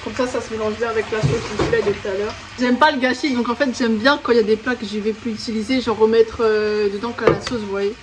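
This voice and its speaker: A woman's voice: on the high side, fairly even intonation, quick pace, hardly any pauses, background noise. A woman in her twenties from France chatting casually while she cooks, rambling with lots of fillers. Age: 20s. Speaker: guest cook. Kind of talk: casual cooking vlog. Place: home kitchen.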